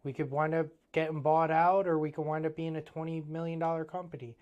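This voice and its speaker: A man in his thirties with an Eastern Pennsylvania accent talks shop about his plumbing business. He is blunt and motivational and uses plenty of casual fillers. His voice is smooth and clean, and sits a bit high for a man. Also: little pitch movement, fairly quick, darkish tone, highs cut off. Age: 30s.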